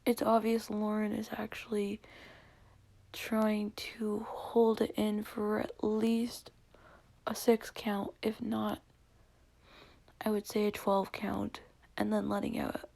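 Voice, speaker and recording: Soft whispering woman with a gravelly edge. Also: mid-range voice, completely flat delivery, slow. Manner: soft whispering, hesitant with fillers. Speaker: vlogger reviewing songs. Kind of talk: whispered ASMR-style music commentary.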